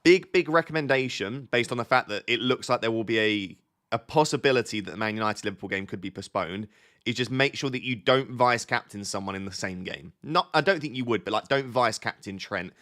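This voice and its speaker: A man's voice: mid-range voice, fairly quick, sharp tone. A man hosting a casual live stream, answering viewer chat. He has a slight cold and sounds a little sniffly, thinking aloud and often restarting his sentences.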